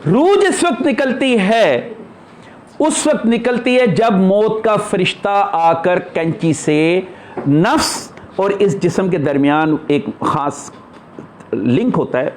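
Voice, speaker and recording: A man's voice: high-pitched, sing-song, a little slow, slight background noise. A man speaking as a spiritual teacher in an informal religious discourse, explaining emphatically and posing rhetorical questions.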